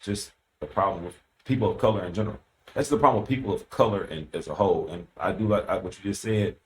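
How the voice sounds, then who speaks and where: A man co-hosting a casual podcast, giving blunt, profane remarks at a deliberate pace. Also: sits low, a little mellow, rough recording. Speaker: podcast co-host.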